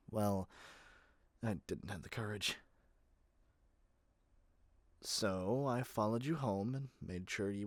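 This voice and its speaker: A man's voice: lowish voice, no echo, close to an everyday voice. A man playing a doting yet controlling yandere kidnapper in an ASMR roleplay, speaking intimately to the listener with sweet pet names. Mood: lovesick, confessing.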